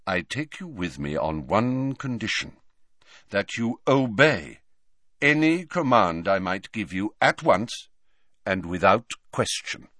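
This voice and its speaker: A man voicing a grave, courteous old wizard headmaster in an audiobook, measured, formal and authoritative. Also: on the low side, very animated, fairly bright tone, no echo, clean studio sound, heavily acted. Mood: grave, commanding. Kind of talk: dramatised audiobook reading.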